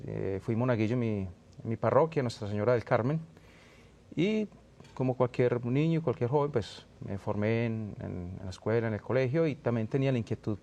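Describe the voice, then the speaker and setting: A Colombian man in his thirties with an Antioquia accent speaks in a mellow, relaxed voice at middle pitch. He is a priest answering reflectively, pausing to search for his words. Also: vocal fry, muffled recording.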